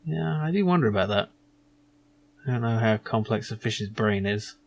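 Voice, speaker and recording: A man's voice: fairly low pitch, lively intonation, normal speed, creaky. A man giving loose, rambling commentary as he plays a video game and reads its text aloud. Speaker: video game let's player.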